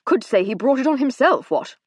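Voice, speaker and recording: A woman doing a blustering, tipsy gentleman. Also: high voice, big pitch swings, brisk, breathy edge, no room sound, clean studio sound, voice pushed up, exaggerated voice. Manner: blustering, slightly drunken. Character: chubby red-faced tipsy gentleman, blustering.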